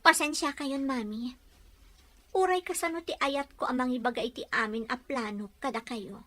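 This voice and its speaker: A woman's voice: sits high, normal speed, fairly bright tone. A woman with a Filipino accent acting a sincere, devoted young bride-to-be in an amateur audio drama. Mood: pleading. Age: young adult.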